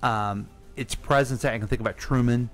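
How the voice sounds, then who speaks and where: A man's voice: mid-range voice, fairly even intonation, fairly quick, fairly bright tone, soft background music. A man co-hosting a radio show in casual, informal conversation. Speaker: radio co-host asking questions.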